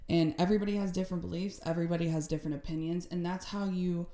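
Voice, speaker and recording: A woman's voice: fairly low pitch, fairly even intonation, racing, warm tone, echoey room. A woman therapist speaking frankly and emphatically as a podcast guest. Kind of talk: casual conversation.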